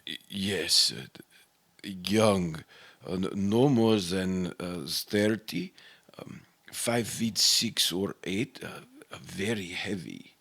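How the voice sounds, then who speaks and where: A man's voice: low-pitched, slow, vocal fry, a bit bright, slightly rough, exaggerated voice. A man playing a frail, injured and distraught professor who stammers and repeats words in a radio melodrama. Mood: weak, grieving. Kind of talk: old-time radio melodrama acting.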